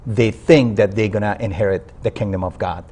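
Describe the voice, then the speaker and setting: Guest: man from Afghanistan, low-pitched, earnest, halting delivery. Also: dark tone, breathy edge. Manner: earnest, halting with fillers and restarts. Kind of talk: interview testimony.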